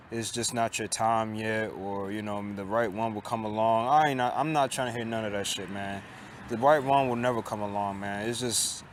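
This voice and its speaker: Man, late 20s, vlogging outdoors on a campus; halting, repetitive, profane, resigned, mid-pitched voice. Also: a little hiss or hum. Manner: halting and repetitive, with frequent restarts and swearing; resigned, downcast tone. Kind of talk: casual confessional monologue.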